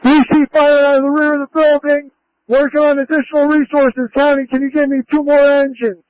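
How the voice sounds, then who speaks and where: Male incident commander, very high voice, brisk orders, dull scanner radio sound. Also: flat delivery, no room sound. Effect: two-way radio. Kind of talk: fireground radio traffic. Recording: muffled lo-fi emergency scanner radio.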